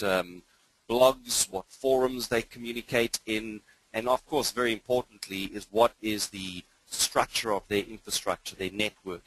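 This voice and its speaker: Husky man with mixed international accent. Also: lowish voice, fairly bright tone, no echo, low-quality recording. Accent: mixed international English, hints of British and South African. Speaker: cybersecurity trainer. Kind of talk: live webinar presentation.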